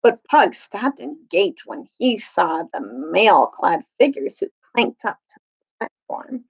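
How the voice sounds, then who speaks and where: A woman's voice: on the high side, lively intonation, slow, creaky, breathy edge, highs cut off. A woman reading a children's storybook aloud as a storytime reader, sometimes stumbling over longer words.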